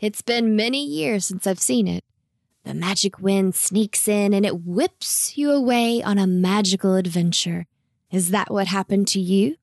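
A kindly old storybook goat, acted out by a woman, wise and courteous. He speaks slowly and gently, with a creaky edge, encouraging in an old-fashioned way. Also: mid-range voice, no room sound, clean studio sound. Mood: warm, welcoming. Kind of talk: children's storybook character voice.

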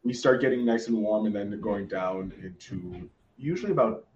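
A man's voice: lowish voice, moderate pace, darkish tone, distant mic, highs cut off, a little hiss or hum. A man hosting a podcast interview, chatty and enthusiastic, often restarting his sentences. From Canada. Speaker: podcast host. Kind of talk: casual interview chat. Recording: echoey roomy sound from off-mic.

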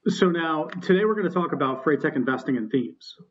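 A male podcast host guides an interview with polite, measured questions. The audio sounds dull and lo-fi. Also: fairly high pitch, expressive, a little hiss or hum. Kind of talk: interview hosting.

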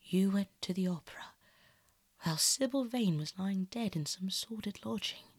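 A woman acts out an earnest older painter, a man full of pained reproach, for a storybook reading. The voice is hushed and strained, with slow, weighted phrasing. The recording is close and dry. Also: fairly low pitch, husky, dry recording. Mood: pained, horrified. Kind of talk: audiobook character acting.

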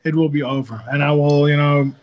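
Calm Dutch-accented man on a muffled call. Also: on the high side, a little fast. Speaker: guest, fighter. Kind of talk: casual video-call interview.

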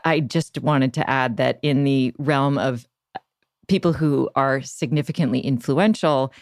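A woman's voice: extremely low pitch, a little slow, no echo, clean studio sound. A woman hosting a podcast in a conversational interview style. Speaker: podcast host.

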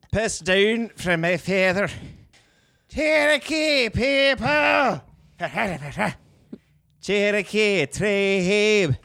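A man's voice: sits high, very animated, very slow, vocal fry, long pauses, bright, forceful tone, pitched above the performer's own voice, exaggerated voice. A man voicing a drunken Scottish man in a bar, singing in a slurred sing-song with a Scottish accent.

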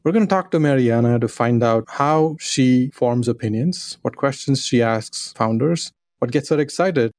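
A man hosting a podcast, opening with formal introductions and asking questions in a measured way. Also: medium pitch, darkish tone, no room sound, studio quality. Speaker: podcast host. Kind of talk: podcast interview.

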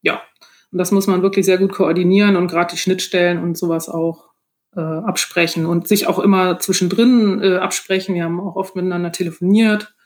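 A woman's voice: on the low side, steady tone, brisk, a little mellow. A woman with a German accent answering interview questions as an author, explaining things in a conversational way. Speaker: guest, author of novels and audio dramas.

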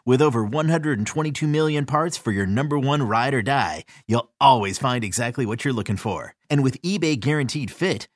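A man's voice: medium pitch, no room sound, clean studio sound. A man reading a scripted commercial as an announcer. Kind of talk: scripted ad read.

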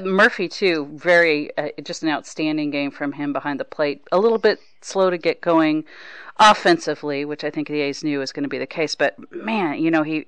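A woman's voice: low voice, fairly bright tone, clear, resonant tone, dry recording. A woman hosting a casual sports talk interview. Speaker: podcast host interviewing a broadcaster.

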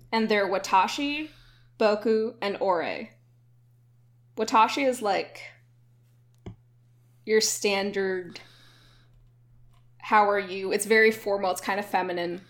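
A woman co-hosting a podcast in casual chat, opinionated and quick to laugh. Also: mid-range voice. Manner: chatty, opinionated, laughs often. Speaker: podcast co-host.

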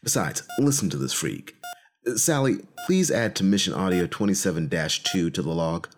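A man's voice: deep voice, lively intonation, normal speed. A man playing a frustrated, self-justifying colonel recording a conversational personal log in an audio drama. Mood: frustrated, wry. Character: frustrated, self-justifying male military colonel, a time traveler.

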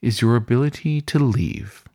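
Man voicing a suave, seductive weasel gentleman. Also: mid-range voice, normal speed, lightly acted. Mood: somber, serious. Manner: somber, whispering, courtly. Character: suave, seductive male weasel, master of a haunted hotel.

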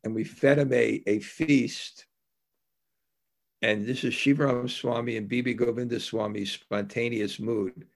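Male spiritual teacher, gravelly fry in the voice, reflective and halting, conversational storytelling delivery for a devotional discourse. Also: mid-range voice, steady tone. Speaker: devotional teacher giving a religious talk. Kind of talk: anecdotal spiritual discourse, storytelling between scripture readings.

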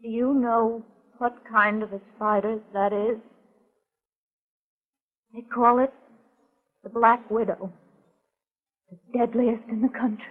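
A woman's voice: fairly high pitch, fairly even intonation, slow pace, pauses often. Frightened woman, tinny old radio. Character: frightened, earnest young woman client in a mystery. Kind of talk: 1940s radio acting. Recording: tinny, phone-like 1940s radio recording.

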